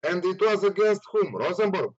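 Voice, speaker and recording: A man with a Romanian accent giving casual sports betting analysis in a halting, hesitant way. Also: high-pitched, lively intonation, highs cut off, crowd noise. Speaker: betting tipster and panelist.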